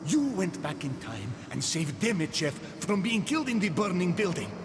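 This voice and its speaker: An actor playing an earnest, desperate rebel scientist in a video game, urgent and imploring. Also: male voice, sits high, big pitch swings, moderate pace, very noisy. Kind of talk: video game cutscene acting. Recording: loud dramatic music behind.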